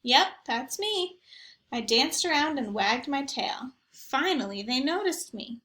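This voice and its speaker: A woman voicing an eager, naive, mischievous young dog telling his own picture-book story in an expressive, earnest way. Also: high voice, a little slow, echoey room, exaggerated voice. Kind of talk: first-person picture book narration.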